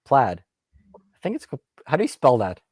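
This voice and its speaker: Male live stream host, native Russian speaker, rambling casual chat, mid-pitched, dry recording. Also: huge pitch swings, normal speed, darkish tone, husky, dry recording. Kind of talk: casual Q&A chat about guns.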